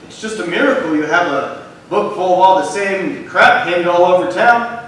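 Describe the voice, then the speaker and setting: A man's voice: sits high, moderate pace, a bit bright. A man playing a bullying, sneering police sergeant in a staged reading. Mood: accusing. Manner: intimidating, sneering. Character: menacing, bullying male police sergeant interrogating a teenager. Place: arts center stage, live performance. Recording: echoey hall, distant mic, faint noise.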